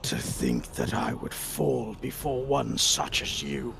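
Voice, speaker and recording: A British man voicing a proud, bitter fallen male villain with theatrical, menacing delivery and drawn-out whispers. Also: mid-range voice, wildly swooping pitch, gravelly, over music, voice pushed down, heavily acted. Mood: scornful.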